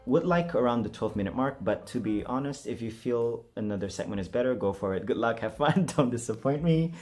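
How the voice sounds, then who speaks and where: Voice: mid-range voice, expressive, a little fast, a little mellow, soft background music. A vlogger chatting casually in a self-deprecating, hesitant, giggly manner. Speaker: vlogger doing a voice acting challenge. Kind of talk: casual, chatty vlog talk.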